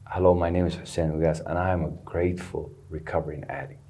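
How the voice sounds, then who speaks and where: Deep-voiced man telling his story. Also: flat delivery, fast, warm tone, slight background noise. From Somalia. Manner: earnest, candid, sprinkles Arabic phrases. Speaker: guest, recovery center founder. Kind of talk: personal storytelling interview. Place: studio.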